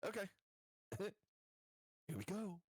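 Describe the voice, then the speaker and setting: A man's voice: sits high, big pitch swings, drawn-out words, a little hiss or hum. A man chatting casually as a player in a tabletop roleplaying game.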